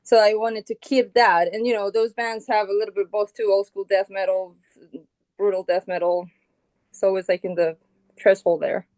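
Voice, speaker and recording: A middle-aged woman from Colombia talks in a relaxed, casual interview voice. She thinks out loud, with plenty of "you know" and restarts. Her pitch sits in a mid range, and the sound is dry and close with no room echo. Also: normal speed. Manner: relaxed, thinks aloud with many fillers and restarts. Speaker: guitarist and founding band member, guest.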